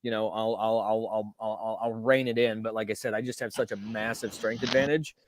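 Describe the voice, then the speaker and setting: A man's voice: lowish voice, flat delivery, a little fast, a little hiss or hum. Stammering man explaining himself. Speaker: player. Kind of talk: casual in-character table talk.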